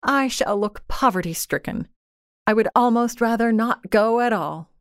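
A woman's voice: fairly high pitch, normal speed, no echo, clean studio sound, voice pushed up, lightly acted. A woman voicing a vain, discontented young wife in a storybook reading, with a plaintive, emotional manner.

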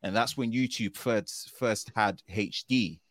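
A man from the United Kingdom, a tech video creator guesting on a podcast, talking in an animated, slangy way and often ending phrases with "man.". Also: on the low side, measured pace. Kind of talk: casual podcast conversation.

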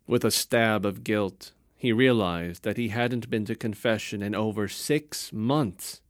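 A man narrating a story in a calm, measured storytelling manner. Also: lowish voice, no room sound, clean studio sound.

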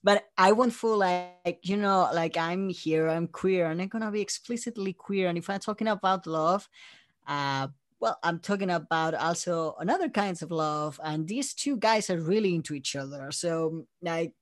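A man's voice: high-pitched, moderate pace, no room sound, studio quality. A man from Spain with a Spanish accent, chatting casually as an interview guest and acting out his own thoughts as he goes. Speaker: interview guest, audio drama creator.

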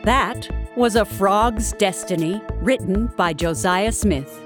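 A woman narrating a scripted audio drama like a storybook, with dramatic, deliberate delivery. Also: mid-range voice. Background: background music.

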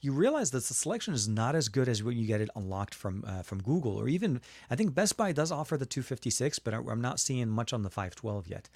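A man's voice: mid-range voice, big pitch swings, fast talker, few pauses, a little mellow, dry recording. A man from Lebanon hosting a casual live tech stream, answering viewer questions in a chatty way with frequent fillers and restarts. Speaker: tech livestream host.

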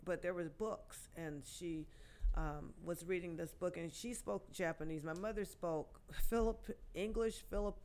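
A woman in her sixties, a guest poet, telling her life story in a podcast interview with many pauses and restarts. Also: on the low side, steady tone, darkish tone, a little hiss or hum. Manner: halting, many fillers and restarts.

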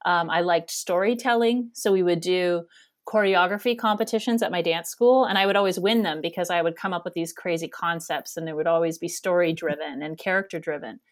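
Casting note: Canadian woman in her thirties, interview guest, loud nasal voice, chatty and rambling, lower voice. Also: brisk. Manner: chatty, rambling, laughs often. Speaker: guest, theater education director. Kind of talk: casual interview conversation.

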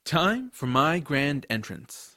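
A man playing a casual, excitable boy hero, telling a fantasy adventure in the first person. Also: a little high, slow, no echo, studio quality, full character voice. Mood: narrating. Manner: casual, boyish phrasing. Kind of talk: first-person audiobook narration and drama.

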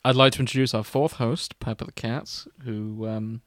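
A man's voice: medium pitch, steady tone, normal speed, dry recording. Giggly male podcast narrator, dry sound. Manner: giggly, often breaking up while reading. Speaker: podcast host reading as narrator. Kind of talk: comic fan fiction reading with casual banter.

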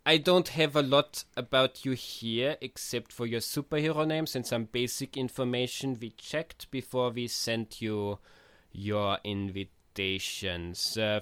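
A man playing a polite male psychiatrist superhero with a floating skull, speaking with an Austrian accent, earnest and halting with many hesitations. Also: medium pitch, fairly bright tone, clear, resonant tone, dry recording, lightly acted.

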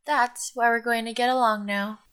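A woman's voice: a little high, fairly even intonation, normal speed, a bit bright, clean tone, lightly acted. A woman playing a sweet, sincere, slightly dramatic younger teenage sister in a naturalistic audio drama. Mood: affectionate.

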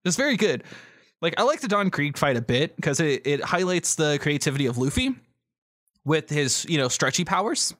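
A man's voice: on the high side, sing-song, moderate pace, fairly bright tone, dry recording, studio quality. A man co-hosting a podcast in casual chat, enthusiastic and free with swearing. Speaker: podcast co-host.

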